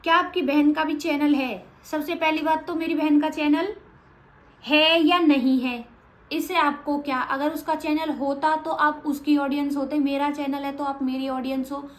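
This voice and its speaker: A woman's voice: sits high, steady tone, moderate pace, reverberant. A woman, answering viewer questions in a casual vlog, frank and matter-of-fact. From Haryana, India. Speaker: vlogger.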